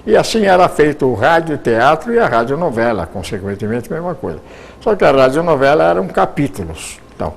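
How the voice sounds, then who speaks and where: An older Brazilian man, a veteran radio actor, telling stories in an unhurried way with pauses and restarts as an interview guest. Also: medium pitch, vocal fry. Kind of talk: reminiscing interview answers.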